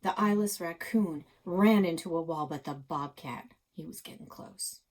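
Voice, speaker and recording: Woman reading kids story aloud, lowish husky voice, real suspenseful narration. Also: moderate pace, a little mellow. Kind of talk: dramatic children's story narration.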